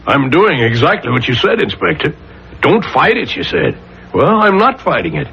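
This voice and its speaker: An exasperated ordinary citizen accused of murder in an old radio mystery. His fairly high voice swings widely as he falters defensively, through a muffled, hissy recording. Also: big pitch swings, gravelly, bright, forceful tone, exaggerated voice. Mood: weary exasperation. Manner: agitated, halting, defensive. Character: worn-down middle-class male murder suspect, exasperated, self-doubting. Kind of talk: 1970s radio drama acting.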